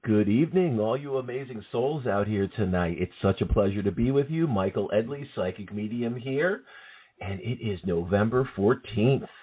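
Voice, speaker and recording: A man with an East Coast American accent, co-hosting a spiritual talk radio show in a calm, reflective way. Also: fairly low pitch, normal speed, slightly rough. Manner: calm, reflective, repeats words when thinking. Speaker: co-host, psychic medium. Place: at home. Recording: tinny phone-line sound.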